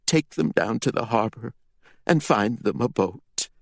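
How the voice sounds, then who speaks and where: A man voicing a grieving sorceress mother who speaks softly and solemnly through tears. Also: mid-range voice, animated, measured pace, gravelly, dry recording, pitched above the performer's own voice. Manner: soft, solemn, tearful. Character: grieving powerful sorceress mother, stern and tearful.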